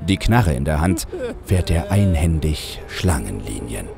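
A man's voice: very deep voice, very animated, unhurried, gravelly, music in the background. A man narrating his own satirical audio play as a scripted storyteller.

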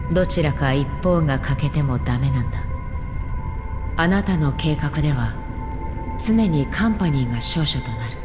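A stoic young swordswoman from an anime game, with a low voice. She is calm, blunt and mysterious, weighing her phrases. Long reverb surrounds her, with music underneath. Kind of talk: scripted anime game voice acting. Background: background music.

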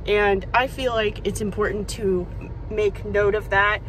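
A woman's voice: mid-range voice, steady tone, measured pace, a bit bright, a little hiss or hum, rough recording. A woman in her twenties vlogging candidly from a car, talking to a phone held at arm's length. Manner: chatty, candid, talks to her dog. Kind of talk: casual vlog chat. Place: car interior and outdoor beach. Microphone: phone camera at arm's length.

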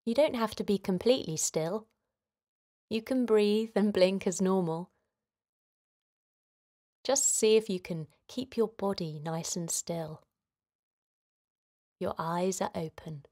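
A woman leading a guided relaxation for children in a gentle, soothing manner, giving simple instructions with pauses. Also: medium pitch. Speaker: children's guided meditation host.

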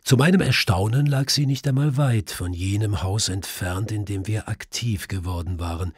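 A man's voice: fairly low pitch, moderate pace, slightly rough, no echo, studio quality. A man reading a literary detective story as an audiobook narrator.

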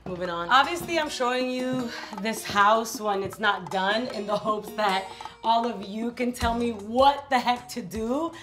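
A woman in her thirties from New York, giving a casual, playful house tour vlog in a bright home, recorded on a camera mic. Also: on the high side, over music. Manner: chatty, playful, drawn-out asides. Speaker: vlog host.

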